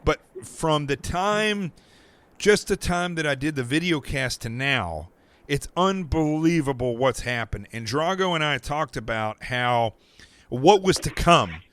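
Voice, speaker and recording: A man with an American accent hosting a podcast, talking in a casual, rambling way with restarts and quick asides. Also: a little high, expressive, a bit bright, dry recording. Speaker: podcast host.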